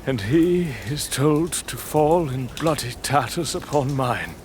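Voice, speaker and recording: An actor playing a proud, tormented, weary lord in a gothic audio drama, speaking in halting, archaic, theatrical phrases. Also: male voice, mid-range voice, animated, darkish tone, a little hiss or hum. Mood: brooding, morbid wonder.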